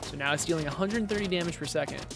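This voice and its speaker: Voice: medium pitch, quick pace, vocal fry, over music. A game developer giving casual gameplay commentary, explaining things step by step and correcting themselves along the way. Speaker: game developer demoing own game.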